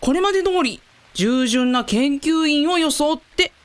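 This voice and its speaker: An earnest young woman researcher played by an actress in a radio drama. She is polite and a little anxious, with a high, lively swooping voice. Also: a little slow. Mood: nervous.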